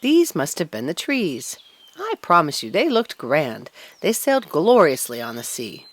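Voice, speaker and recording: A woman voicing an assured, well-travelled male stork in a children's storybook, declaiming a little briskly. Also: sits low, huge pitch swings, exaggerated voice. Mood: assured, impressed.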